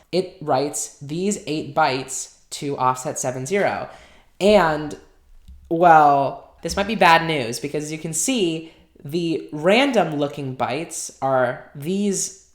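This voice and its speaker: A woman with a low voice walks through a hardware project in a relaxed way, explaining each step and thinking aloud as she works. She talks casually, like a channel host showing her workbench. Her voice carries a noticeable echo from the room around her. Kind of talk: casual technical walkthrough.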